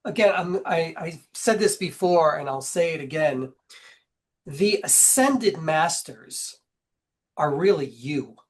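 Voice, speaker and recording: A man getting over a cold, a guest channeler explaining his views in a measured, emphatic way. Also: fairly high pitch, expressive. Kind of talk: casual interview chat.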